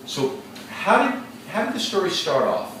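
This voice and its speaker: A male teacher reads a story aloud to a class, slowly and deliberately, and stops to think aloud and ask questions. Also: high-pitched, huge pitch swings. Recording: echoey classroom from far off, background noise.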